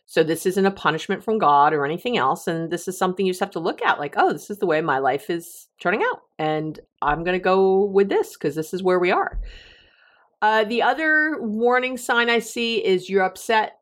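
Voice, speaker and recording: A woman's voice: medium pitch, animated, moderate pace. A middle-aged woman hosting a relationship advice podcast, talking casually on her own, joking and laughing at herself, with frequent restarts. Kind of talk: casual solo podcast talk.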